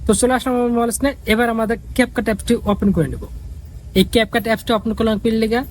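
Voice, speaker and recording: A man's voice: sits high, a little mellow, a little hiss or hum, rough recording. A man giving a casual, repetitive step-by-step app tutorial as the host. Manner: repetitive, instructional.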